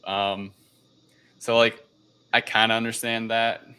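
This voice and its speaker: Male podcast host, low-pitched, crisp bright edge, casual recap, hesitant, rambling phrasing. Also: flat delivery, slow pace. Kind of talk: casual film recap discussion.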